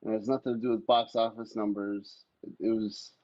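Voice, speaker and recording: A man's voice: on the low side, flat delivery, fairly quick, a little mellow, dry recording. Blunt man on a muffled podcast mic. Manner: blunt, swears casually. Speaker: podcast co-host. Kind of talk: casual opinionated podcast debate.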